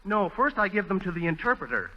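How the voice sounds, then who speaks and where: An actor playing an earnest, rambling Marine sergeant in a 1940s radio comedy. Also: male voice, high voice, very animated, fast talker, long reverb tail. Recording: muffled, hissy 1940s radio recording.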